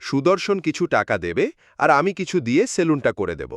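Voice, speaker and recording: A man's voice: medium pitch, big pitch swings, fairly quick, fairly bright tone, no room sound, clean studio sound. A man voicing a fond but authoritative middle-aged village father in a cartoon folk tale. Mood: fatherly, decided.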